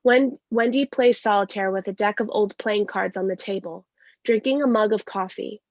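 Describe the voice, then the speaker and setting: A woman's voice: mid-range voice, moderate pace, no room sound, muffled recording. A woman giving scripted narration, reading stage directions at a play reading.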